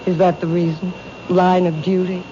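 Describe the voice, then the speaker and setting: An actress playing a polite, reserved bookshop owner in a 1970s radio drama, courteous and restrained. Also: female voice, on the low side, level tone, moderate pace, natural voice. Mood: hesitant. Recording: dull old radio recording, light noise.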